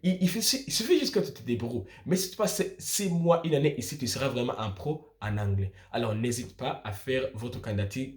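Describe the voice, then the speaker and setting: A man's voice: mid-range voice, animated, a little fast, hardly any pauses, a little mellow. A young man from Burundi, explaining things informally to viewers in an emphatic way, repeating and rephrasing his points. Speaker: student vlogger giving study-abroad advice.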